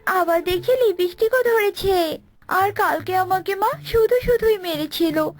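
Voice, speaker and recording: A woman's voice: extremely high pitch, unhurried. A woman voicing a naive, earnest, pleading young wife in a cartoon. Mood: surprised. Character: naive young daughter-in-law, earnest, pleading, simple-hearted. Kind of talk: Bengali cartoon voice acting.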